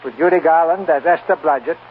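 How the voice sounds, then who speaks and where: A man's voice: fairly high pitch, a little fast, slight background noise. Formal 1940s radio host, tinny male voice. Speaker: radio theater host and narrator. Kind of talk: 1940s radio hosting, scripted narration.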